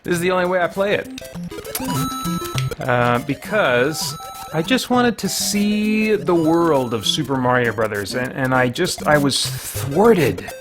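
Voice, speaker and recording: A man's voice: on the high side, animated, measured pace. A middle-aged man giving casual, playful gameplay commentary as a host, rambling and thinking aloud as he goes. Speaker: host of a video series. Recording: video game music behind the voice. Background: retro video game music.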